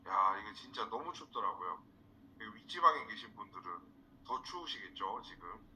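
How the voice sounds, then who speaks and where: A man from South Korea teaching a live online class in an informal, halting way, repeating words for emphasis. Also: on the low side, very animated, sharp tone, a little hiss or hum, low-quality recording. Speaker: instructor, marketing trainer. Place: indoors, online screen-share session.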